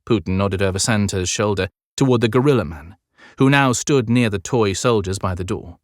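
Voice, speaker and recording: A man's voice: on the low side, normal speed, no echo, clean studio sound. A man narrating an audiobook in a scripted, dramatic storytelling style.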